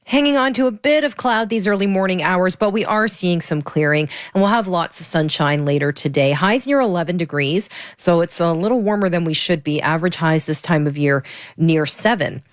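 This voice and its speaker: A woman's voice: lowish voice, fairly quick, dry recording, clean studio sound. A woman delivering a radio weather forecast.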